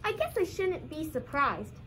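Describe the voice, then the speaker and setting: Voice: high voice, very animated, moderate pace, noisy background. A young teen performer playing an earnest, puzzled puppet in a comedy skit. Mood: solemn. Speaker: student puppeteer in a school drama show.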